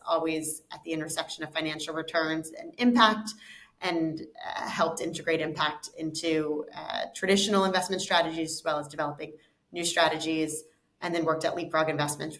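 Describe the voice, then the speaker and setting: A woman with an American accent, a fund co-founder answering interview questions in a measured, explanatory way, often repeating words as she goes. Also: low voice, fairly even intonation, a bit bright.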